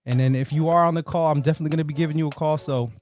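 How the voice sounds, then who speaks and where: A man's voice: on the high side, fairly even intonation, very fast, darkish tone, soft background music. Man hosting a casual motivational livestream. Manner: informal, brotherly way of addressing people. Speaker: livestream host.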